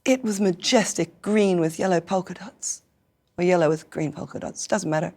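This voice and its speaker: An Australian woman from Newcastle, hoarse and croaky after singing sick the night before, telling stories playfully in a casual interview. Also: lowish voice, moderate pace, a little mellow. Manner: playful, theatrical storyteller with frequent laughs. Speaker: guest performer.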